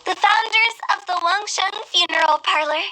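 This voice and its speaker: A woman's voice: very high-pitched, moderate pace, pushed and bright, a little hiss or hum, poor audio. A woman playing a playful, confident young funeral director in an anime-style fantasy game, with a theatrical, knowing manner. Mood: storytelling.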